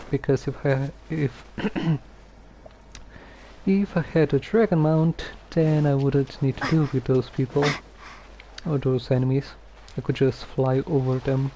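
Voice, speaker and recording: A man giving casual, halting game commentary on a stream, low-key and dryly grumbling. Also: fairly even intonation, dark tone, a little hiss or hum, poor audio. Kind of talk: casual gameplay commentary, some reading aloud.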